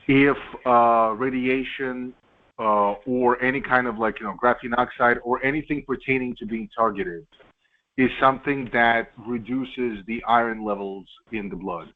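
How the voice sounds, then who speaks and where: Male caller, mid-pitched, curious and polite, tinny phone-line audio. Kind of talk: casual call-in question. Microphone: phone or conference call.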